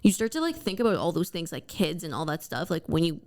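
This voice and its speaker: Chatty young woman podcaster, low-voiced. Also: big pitch swings, quick pace, darkish tone. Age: 20s. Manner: chatty, swears casually, fills with "like". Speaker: podcast co-host. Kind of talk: casual chat.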